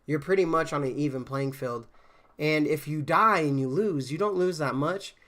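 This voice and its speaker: A man's voice: a little high, normal speed, pushed and bright. A man casually chatting with viewers on a game stream, rambling with fillers and restarts, a bit congested from a cold. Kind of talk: casual live-stream chat.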